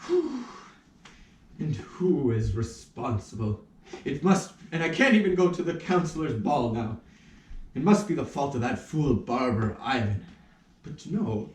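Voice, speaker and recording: Man playing puppet-show official, pompous, indignant, stammering, wildly swooping pitch, distant roomy recording. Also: lively intonation, a little slow, a little mellow, a little hiss or hum, lightly acted. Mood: anguished. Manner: indignant, stammering, self-important. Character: flustered, pompous minor official who lost his nose. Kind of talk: theatrical puppet show acting.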